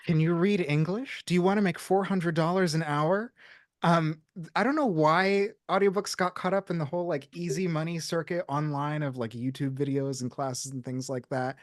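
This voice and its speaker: A man from Brazil, an audiobook narrator, talking in a lively, self-mocking way on a panel. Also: a little high, normal speed, no echo, studio quality. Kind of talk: panel chat.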